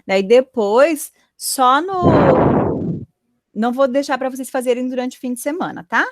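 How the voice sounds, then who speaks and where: High-voiced teacher explaining grammar online. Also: female voice, no echo. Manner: explains slowly, checks in with "tá?". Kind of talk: online class lecture. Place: home, online video class. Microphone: computer mic on a video call.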